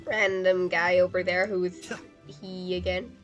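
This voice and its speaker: A woman giving casual live commentary as a game streamer, wry and quick to exclaim. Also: on the low side, flat delivery, dull audio, soft background music.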